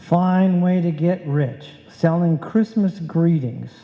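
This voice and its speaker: Sardonic long-suffering husband acted by a man, old-time radio comedy, deadpan, slow delivery, reverberant hall. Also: on the high side. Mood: dry sarcasm. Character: dry, long-suffering wisecracking husband, also narrating. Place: live performance in a reverberant hall. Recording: dull sound, echoey hall, live performance.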